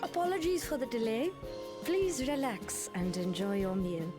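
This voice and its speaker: A courteous serving woman speaks formally in a high voice. It is polished fantasy game dialogue with clear music behind it. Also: very animated, moderate pace. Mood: polite. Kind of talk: scripted video game dialogue.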